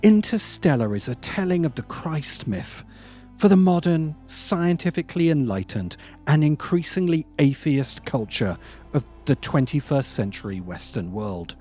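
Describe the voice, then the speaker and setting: A man narrating a scripted video essay with deliberate, emphatic phrasing and dramatic pauses. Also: fairly high pitch, big pitch swings, warm tone, soft background music.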